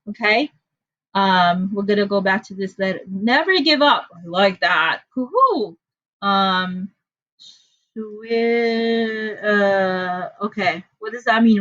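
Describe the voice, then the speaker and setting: A woman's voice: medium pitch, a bit bright, muffled recording. A woman from the Philippines with a Filipino accent, teaching an online class in a chatty, apologetic way with frequent fillers. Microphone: computer mic on a video call.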